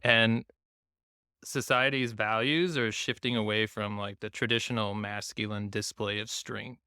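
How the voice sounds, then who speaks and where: A man who grew up in Taiwan talks reflectively as a podcast guest, searching for words and leaning on "like". His voice is bright and smooth. The recording is close and dry. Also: on the low side, fairly even intonation, measured pace, no echo. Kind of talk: casual conversation.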